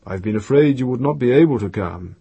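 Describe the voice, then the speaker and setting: A man voicing a kind, grave master in a storybook, gentle, restrained and solicitous. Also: mid-range voice, expressive, a little fast, slightly rough, no room sound, muffled recording. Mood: muted, concerned.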